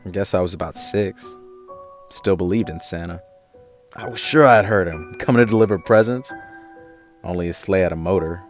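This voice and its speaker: An actor playing a defiant, streetwise young activist in an audio drama, with a sardonic, informal way of talking. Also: male voice, low voice, moderate pace, long pauses, faint music behind, lightly acted. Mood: reminiscing. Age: young adult.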